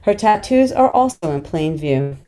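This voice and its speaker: A woman's voice: fairly low pitch, animated, moderate pace, warm tone. A woman narrating stage directions in a screenplay table read.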